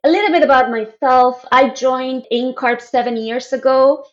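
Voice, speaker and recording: A woman with an Argentine accent, an architect guest telling her story in a friendly, measured way on a podcast interview. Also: high-pitched, highs cut off.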